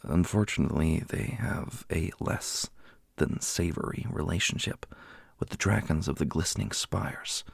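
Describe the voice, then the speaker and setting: A man playing a solemn, strategic warrior leader in a fantasy audio roleplay, speaking in a formal, measured way. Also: sits low, creaky, slightly rough, dry recording, clean studio sound, exaggerated voice. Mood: measured, planning.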